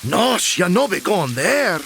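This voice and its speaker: A man playing a gruff, superstitious Scottish cab driver in a comedy audio drama, with broad dialect and a gravelly voice. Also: high voice, big pitch swings, slow pace, noisy background, full character voice. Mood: ominous warning. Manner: broad dialect, ominous warnings.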